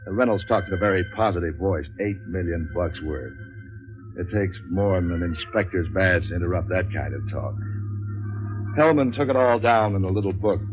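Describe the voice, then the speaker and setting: Actor as low-voiced, cynical hardboiled radio gumshoe. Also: male voice, natural voice. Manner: clipped, sardonic, deadpan. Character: cynical, world-weary hardboiled male private troubleshooter, wisecracking. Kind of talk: 1940s radio noir acting and narration. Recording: dull 1940s radio recording.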